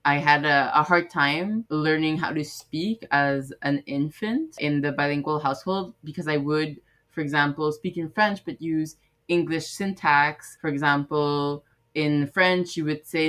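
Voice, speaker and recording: A young woman from Quebec answering interview questions in a relaxed, loose way with fillers. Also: deep voice, unhurried. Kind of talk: casual recorded interview.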